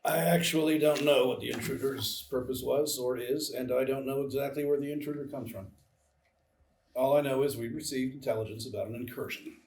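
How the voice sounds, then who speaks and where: A man's voice: mid-range voice, fairly even intonation, brisk, a little mellow, a little hiss or hum, natural voice. An actor playing a guarded, firm government intelligence man at a live screenplay reading. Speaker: actor in a live table read. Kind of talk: dramatic script reading. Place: small live theatre stage. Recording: echoey theatre, far from the mic.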